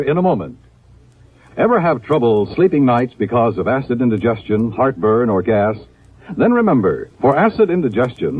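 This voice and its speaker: A male announcer delivering an emphatic, polished sales pitch in the style of 1950s radio. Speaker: radio announcer reading a sponsor ad. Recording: narrow-band old radio recording.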